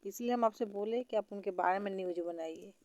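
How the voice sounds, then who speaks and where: A woman's voice: mid-range voice, fairly quick, a little mellow, no echo. A woman, calling as a fan on a recorded phone conversation, speaking in an earnest, pleading and repetitive way. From Bihar, India. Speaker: caller, fan.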